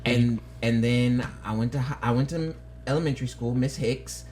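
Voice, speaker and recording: A man's voice: medium pitch, normal speed, a little mellow, soft background music. A man co-hosting a podcast, telling rambling stories in casual chat. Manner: rambling storyteller, trails off and restarts. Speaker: podcast co-host.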